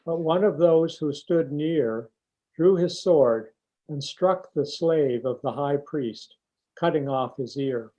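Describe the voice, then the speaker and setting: Man, very unhurried, warm relaxed timbre, scripted scripture reading, dull muffled recording. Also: on the high side. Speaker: church member reading scripture.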